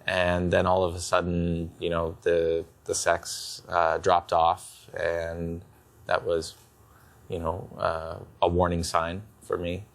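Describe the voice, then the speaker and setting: A man giving a candid personal account in a hesitant, halting way. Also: deep voice, completely flat delivery. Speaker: husband in couples counselling.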